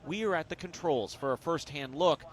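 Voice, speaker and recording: A man's voice: a little high, moderate pace, slight background noise. A man with an American accent, working as a TV reporter and reading scripted news narration.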